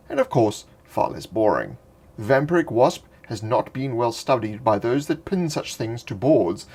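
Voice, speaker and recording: A man's voice: medium pitch, husky. A man reading a fantasy monster entry from a tabletop game book in a dry, playful storytelling manner with wry asides. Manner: louder and more excited in the closing address. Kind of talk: scripted narration of a fantasy bestiary entry.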